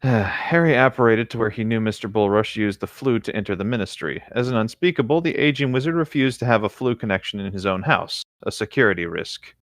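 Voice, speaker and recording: Male co-host, lowish voice, relaxed read-aloud with conversational asides, recorded dry. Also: brisk, few pauses, no room sound. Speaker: co-host reading fan fiction aloud. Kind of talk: casual read-aloud with side chat.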